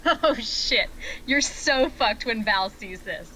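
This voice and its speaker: A woman's voice: fairly high pitch, lively intonation, takes it slowly, sharp tone, a little hiss or hum, heavily acted, poor audio. A woman reading the part of a foul-mouthed, sarcastic gangster at a screenplay table read. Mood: mocking. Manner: sarcastic, crude. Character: foul-mouthed sardonic female gangster, mocking and tough.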